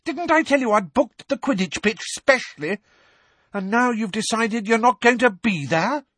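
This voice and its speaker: A man voicing a fierce, bossy young woman who captains a sports team, snarling and demanding in a raised, higher voice. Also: animated, normal speed, a bit bright, slightly rough, no room sound. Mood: furious.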